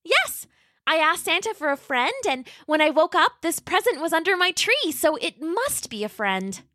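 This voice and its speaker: Woman doing a squeaky little T-Rex girl. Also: big pitch swings, moderate pace, pushed and bright, dry recording, studio quality, heavily acted. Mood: pleading. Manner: high, pleading, childlike. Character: sweet young female T-Rex, polite and eager.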